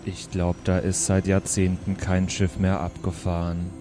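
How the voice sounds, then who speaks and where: A man voicing a grumpy, bored heavenly bureaucrat, curt, weary and deadpan, in an improvised audio drama. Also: low-pitched, unhurried. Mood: weary, dry.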